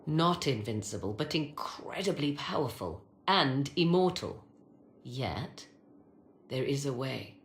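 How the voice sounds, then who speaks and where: A woman's voice: very deep voice, animated, slow pace, slight background noise, heavily acted. An actress playing a proud, mysterious sorceress and mother in a fantasy game, speaking in formal, archaic, theatrical phrases. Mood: solemn, mysterious. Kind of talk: dramatic fantasy game acting. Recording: echoey, with music behind.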